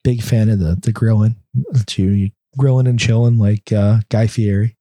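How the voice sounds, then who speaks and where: A man co-hosting a casual podcast, talking through a bit of congestion. His low, mellow voice has a husky edge. He rambles with frequent fillers, recorded close and dry. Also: steady tone, moderate pace, no echo. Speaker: podcast co-host. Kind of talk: casual chat.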